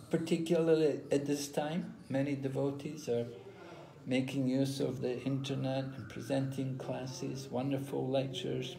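An older man giving an unhurried online scripture class over a video call from a room at home, explaining with pauses and restarts. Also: medium pitch, vocal fry, dark tone. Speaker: spiritual teacher. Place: room at home with ceiling fan. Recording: echoey room.

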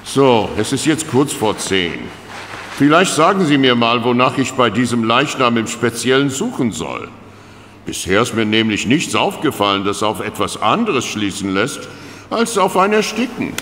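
An actor playing a fussy, reluctant medical examiner in an audio drama, pedantic and clinical. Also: male voice, mid-range voice, very animated, moderate pace, a bit bright. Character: grudging, fussy male provincial medical examiner. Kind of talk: German audio drama acting. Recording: long hall reverb with background noise.

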